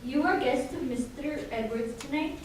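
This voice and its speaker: A deferential radio-play housemaid acted by a woman, high in pitch and speaking broken English. The sound is very echoey and a little distant. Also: normal speed, close to an everyday voice. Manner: broken English with dropped articles. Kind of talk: old-time radio drama acting. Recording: very echoey hall, distant mic, faint noise.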